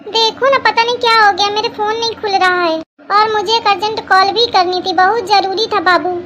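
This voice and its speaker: A woman puts on a young cartoon bride with an extremely high voice. She wheedles sweetly and turns demanding, sounding whiny and modern. Faint noise sits behind the recording. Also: fairly even intonation, moderate pace. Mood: coaxing, whiny. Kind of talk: comedy cartoon dialogue.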